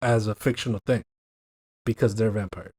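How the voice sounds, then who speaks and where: A man's voice: lowish voice, steady tone, moderate pace, darkish tone, husky. A man from Miami with a Miami accent, hosting a casual podcast chat in a rambling, jokey way. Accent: Miami English. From Miami, USA. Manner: rambling and jokey, repeats "you know what I mean". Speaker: podcast host.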